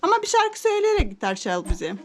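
A woman's voice: extremely high pitch, wildly swooping pitch, fast. A woman talking playfully with her small child, encouraging and affectionate, asking lots of questions as a mother does. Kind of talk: playful chat with a small child for a kids' video.

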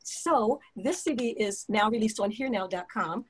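A woman's voice: medium pitch, expressive, moderate pace, breathy edge. A woman singer talking casually as an interview guest on a video call, giving advice and promoting her work. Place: indoor room at home, on a video call.

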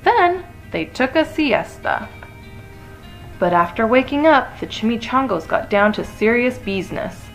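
A woman storyteller giving an expressive read-aloud of a children's picture book. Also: a little high, unhurried, faint music behind, rough recording.

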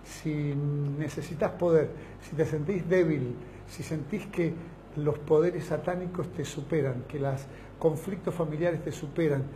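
A man's voice: fairly high pitch, steady tone, unhurried, mellow, slight background noise, rough recording. A middle-aged man with an Argentine accent, a pastor giving a fervent televised invitation from a small studio set, speaking in long emphatic lists. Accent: Argentine Spanish.

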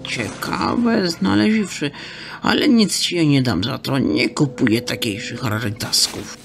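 An actor playing a sly, haggling fence shopkeeper who talks in folksy, mangled slang. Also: male voice, medium pitch, unhurried, vocal fry, a bit bright, faint music behind. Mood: scheming. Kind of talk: radio drama acting.

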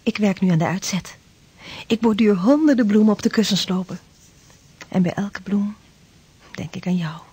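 Breathy, devoted young bride-to-be. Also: female voice, medium pitch, moderate pace, long pauses, warm tone. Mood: wistful. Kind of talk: Dutch radio drama acting.

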